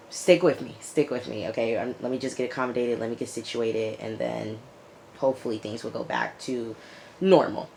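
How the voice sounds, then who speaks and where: A woman with a raspy, hoarse voice from recent illness, reviewing a TV episode in a casual vlog and repeating her points for emphasis. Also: a bass voice, darkish tone, a little hiss or hum, low-quality recording. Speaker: YouTube TV reviewer.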